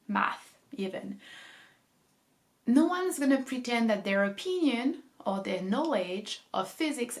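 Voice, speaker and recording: A young woman coming down with a cold, talking casually to camera as a book vlogger, reflective and hedging her points. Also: medium pitch. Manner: reflective, hedges often with "I feel like" and "um".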